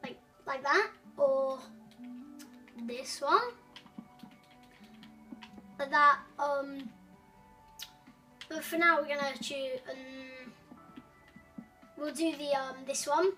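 A woman with a high voice narrates a casual game tutorial, wandering through her points with frequent ums and corrections. She sounds set back from the microphone, with faint music playing underneath. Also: extremely slow, pauses often, bright, forceful tone, far from the mic. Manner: rambling, many ums and self-corrections. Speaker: gaming tutorial host.

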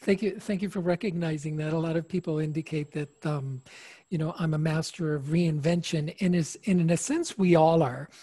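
A man in his fifties from Chile, a success coach speaking calmly as a guest on a video call through a headset. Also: a little high, moderate pace, husky, no room sound.

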